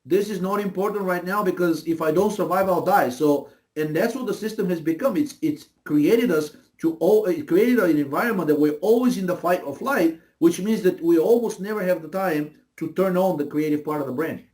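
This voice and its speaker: Higher-voiced man with a Bulgarian accent. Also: brisk, hardly any pauses, darkish tone. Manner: emphatic, repeats phrases, checks in with "right?". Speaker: interview guest. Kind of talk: conversational explaining.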